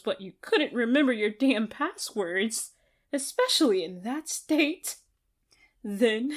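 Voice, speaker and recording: Casting: actress as obsessive female captor for ASMR roleplay. High voice, slow theatrical delivery, gloating and unhinged. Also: full character voice. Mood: gloating, sweet. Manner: deliberate, theatrical, broken by giggles.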